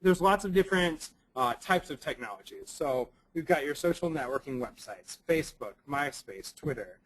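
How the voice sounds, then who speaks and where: Young guy a bit raspy from a cold, giving a talk, lots of ums and restarting sentences. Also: a little high, lively intonation, fairly bright tone, poor audio. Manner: halting, many ums and restarts. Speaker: presenter. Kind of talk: recorded conference presentation.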